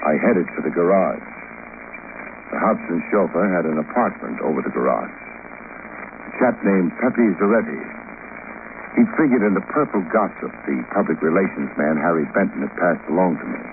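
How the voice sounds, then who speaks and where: An actor playing a wisecracking private investigator who narrates and questions in a radio drama, deadpan and sardonic. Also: male voice, sits low, normal speed, creaky. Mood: wry narration. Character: dry, wisecracking hardboiled male private investigator. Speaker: detective lead and narrator. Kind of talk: 1950s radio detective narration and dialogue. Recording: noisy, tinny old radio recording.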